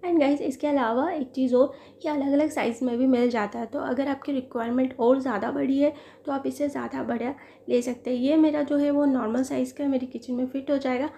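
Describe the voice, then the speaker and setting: Chatty woman vlogger, mellow tone. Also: high-pitched, steady tone, fairly quick, creaky, hardly any pauses. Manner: chatty, talks straight to viewers, admits she is no expert. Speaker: lifestyle vlogger. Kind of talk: casual product unboxing. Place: living room at home, indoors.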